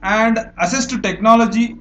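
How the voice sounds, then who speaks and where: A man's voice: high voice, normal speed, fairly bright tone, slight background noise. A young man with an Indian English accent, teaching an exam-prep lecture over slides, explaining step by step with frequent fillers and self-corrections. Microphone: voice-over recorded over screen annotation.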